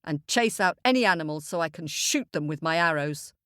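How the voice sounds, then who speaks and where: A woman's voice: deep voice, expressive, brisk, a bit bright, clean tone, dry recording, pitched below the performer's own voice. A scheming, curt uncle snaps out brusque orders, as a woman narrator puts him on for a storybook. The figure sounds bossy and in a hurry. The sound is dry and close.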